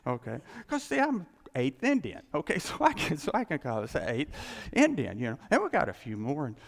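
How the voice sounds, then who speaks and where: Preacher man, gravelly, animated pitch, rambling and chuckly, long hall echo. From United States. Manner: rambling, self-interrupting, chuckling storyteller. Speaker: pastor. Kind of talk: casual sermon with jokes.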